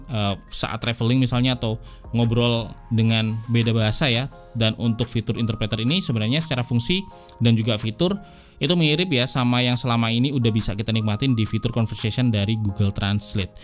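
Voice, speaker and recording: A man's voice: mid-range voice, steady tone, brisk. A man with a Jakarta Indonesian accent narrating a tech review in a casual, conversational way, explaining things to viewers as friends. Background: background music.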